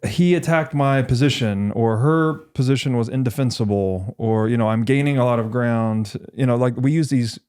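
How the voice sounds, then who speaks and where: A man hosting a podcast, explaining ideas conversationally in a thoughtful, measured and halting way as he reasons aloud. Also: medium pitch, a little mellow, smooth. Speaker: podcast host.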